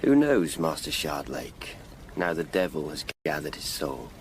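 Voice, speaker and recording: A devout, grave coroner of Tudor England in a radio play. His voice is very low and he speaks with stern religious conviction, over faint background noise. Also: very animated, a little slow, full character voice. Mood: grim, pious. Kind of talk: period radio drama acting.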